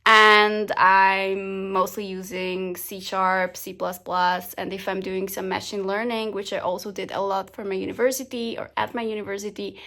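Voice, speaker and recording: A young woman whose first language is Slovak talks brightly and chattily to the camera. She films a casual home vlog at arm's length on her phone in her living room. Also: mid-range voice, vocal fry. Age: 20s. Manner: chatty, upbeat, talking to camera. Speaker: vlogger and software engineer. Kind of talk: casual day-in-the-life vlog.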